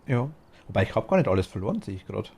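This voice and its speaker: Mellow Bavarian man rambling casually. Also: on the low side, big pitch swings, rapid-fire, creaky, husky. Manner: rambling, murmurs quietly to himself while shopping. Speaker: streamer. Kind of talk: casual gaming chat.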